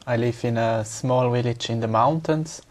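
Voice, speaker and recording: A man's voice: medium pitch, normal speed. Hesitant young man. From Switzerland. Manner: hesitant, repeats words while searching for them. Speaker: exam candidate. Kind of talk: speaking exam answers.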